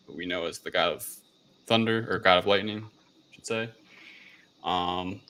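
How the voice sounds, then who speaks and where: A man hosting a movie review in a casual, halting way, tripping over words and correcting himself. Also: lowish voice, sharp tone. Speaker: host of a movie review show. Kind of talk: casual film discussion, reading a plot summary.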